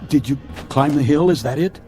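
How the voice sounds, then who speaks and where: An actor playing an earnest, protective spaceship captain in a radio drama, agitated and commanding. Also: male voice, a little high, a little fast, close to an everyday voice. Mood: urgent, worried. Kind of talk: dramatic radio play acting. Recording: radio drama with background music. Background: music.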